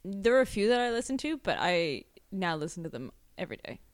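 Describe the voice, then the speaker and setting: A woman's voice: medium pitch, big pitch swings, a little fast, no room sound. A young woman from Ontario chatting on a podcast with a wry, self-deprecating sense of humor. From Ontario, Canada. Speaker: podcast team member. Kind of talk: casual team chat.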